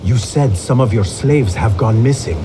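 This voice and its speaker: An actor playing a calm, watchful young male assassin in a video game, speaking in an even, measured way. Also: mid-range voice, noisy background, close to an everyday voice. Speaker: game protagonist.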